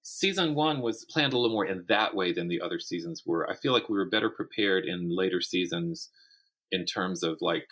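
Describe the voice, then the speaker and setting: Male interview guest, writer type, very low voice, explanatory, works out thoughts aloud. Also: fairly quick, a bit bright. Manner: explanatory, thinks aloud with self-corrections. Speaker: guest author and audio drama writer. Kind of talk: conversational interview about the writing craft.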